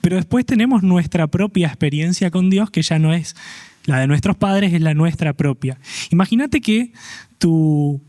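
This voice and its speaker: A man hosts a youth church interview, speaking in a friendly, joking way with halting fillers. His voice sits a bit high for a man. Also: expressive, dark tone. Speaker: host.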